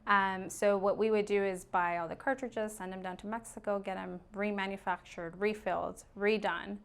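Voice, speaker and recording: Chatty woman with a smooth voice. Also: mid-range voice, steady tone, normal speed. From Arizona, USA. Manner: open, chatty, laughs easily. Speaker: real estate agent guest. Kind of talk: casual podcast interview.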